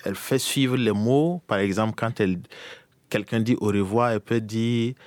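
Low-voiced man from Burkina Faso. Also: normal speed, darkish tone, dry recording. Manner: hesitant, many restarts. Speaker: interviewee. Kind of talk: casual conversation.

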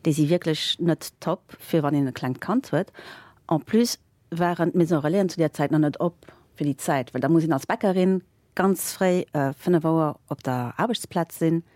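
A woman, a guest in a casual interview, telling stories with lots of fillers and lively laughter. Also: deep voice, moderate pace, no echo. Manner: animated, laughing while telling anecdotes, many fillers. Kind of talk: casual storytelling.

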